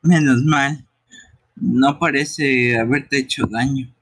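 A man's voice: medium pitch, fairly even intonation, takes it slowly, a bit bright, husky. A man voicing a timid, earnest young fox-like creature in a live amateur game dub. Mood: ashamed. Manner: earnest, apologetic.

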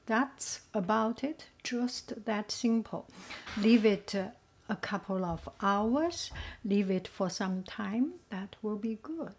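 A woman's voice: mid-range voice, takes it slowly, slight background noise. A woman giving a casual home cooking demo, thinking aloud with many fillers. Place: home kitchen at a gas stove.

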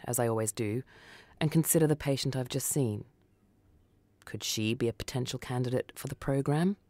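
A woman's voice: very deep voice, a little mellow, no echo. A woman reading a first-person short story for radio as scripted narration. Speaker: story reader.

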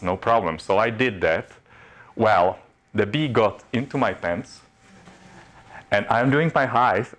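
Man, 40s, Czech accent, lowish voice, friendly self-mocking presenter in a classroom, far camera. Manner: chatty, self-mocking, rises in pitch when animated. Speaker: workshop presenter. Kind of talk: informal workshop talk. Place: classroom in front of a projection screen.

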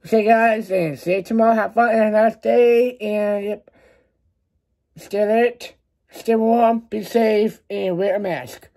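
Slow, halting young man vlogging. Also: sits high, steady tone, warm tone. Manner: halting, repeats words and short phrases. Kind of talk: casual rambling vlog chat. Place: small laundry room beside a kitchen at home, indoors. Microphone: phone at arm's length.

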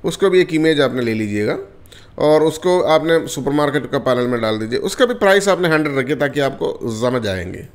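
A man demonstrating software step by step in a casual tutorial, often calling viewers friends and checking in with them as he goes. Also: mid-range voice, expressive, a little fast. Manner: repetitive, addresses viewers as friends, many fillers. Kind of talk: screen-recorded software tutorial.

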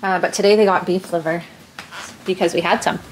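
A woman's voice: on the low side, steady tone, fairly quick. Young woman chatting, noisy handheld recording. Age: young adult. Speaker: vlogger. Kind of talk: casual chatty vlog talk. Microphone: handheld camera or phone. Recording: noisy handheld camera, household and car noise.

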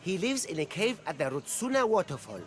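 A man's voice: sits high, very animated, brisk, a bit bright, soft background music. A middle-aged man playing an earnest, cautious ancient Greek street vendor in a video game, speaking in a cautioning manner. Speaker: non-player game character. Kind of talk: scripted game dialogue.

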